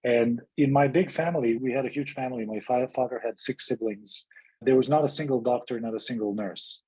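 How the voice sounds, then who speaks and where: A man's voice: medium pitch, a little fast, a little mellow, husky, low-quality recording. A middle-aged man from Serbia, a physician and health activist, speaking as an interview guest in a reflective, storytelling way with frequent restarts.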